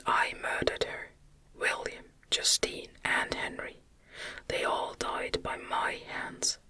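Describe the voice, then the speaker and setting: A woman voicing an anguished, guilt-ridden young man in an impassioned breathy whisper, in a storybook reading. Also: takes it slowly, sharp tone.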